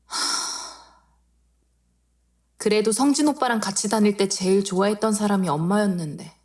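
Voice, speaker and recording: A woman's voice: mid-range voice, moderate pace, a little mellow, close to an everyday voice. A woman voicing a conflicted young woman thinking aloud in an audio drama, fretful and unsure. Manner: fretful inner monologue.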